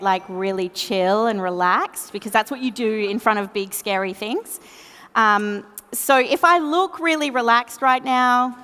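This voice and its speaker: Relaxed Australian woman in a reverberant hall. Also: on the high side, smooth. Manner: relaxed, self-deprecating, chatty with fillers. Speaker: conference speaker. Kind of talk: tech conference talk. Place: large conference hall.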